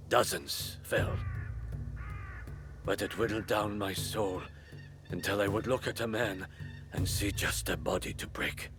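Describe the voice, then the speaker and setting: An actor playing a proud, remorseful samurai duelist in a video game, speaking formally and with measured weight. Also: male voice, on the low side, natural voice. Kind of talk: dramatic video game acting.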